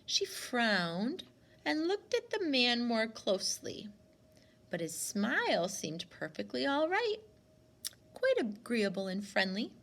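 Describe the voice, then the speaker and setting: A woman narrates a storybook like a teacher reading to a class. Her voice is fairly high and very expressive, with slow pacing and a creaky edge.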